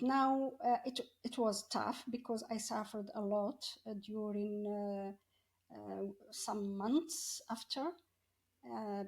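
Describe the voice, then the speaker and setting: A middle-aged woman tells her personal story in an interview, often halting and searching for words. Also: a little high, a little mellow. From Morocco. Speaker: podcast guest, coach.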